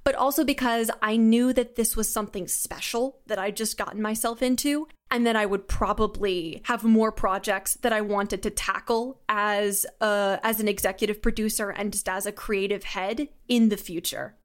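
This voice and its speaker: A woman's voice: mid-range voice, steady tone, moderate pace, hardly any pauses. A young woman with a mid-range voice speaks as a guest creator in an interview. She is articulate and enthusiastic, explaining things in a conversational way. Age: young adult. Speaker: audio drama creator, podcast guest.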